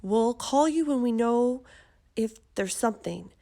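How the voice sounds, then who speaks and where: A woman's voice: a little high, expressive, a little slow, creaky, mellow. A woman voicing self-important, baffled male medical experts in a storybook, with a pompous, declarative delivery. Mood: evasive.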